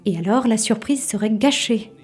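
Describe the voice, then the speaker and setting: A woman's voice: fairly high pitch, normal speed, a little mellow, slightly rough, faint music behind. A woman performing as an intimate storyteller, narrating an audio drama in a literary second-person style. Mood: suspenseful, intimate. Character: intimate storyteller addressing an anxious housewife.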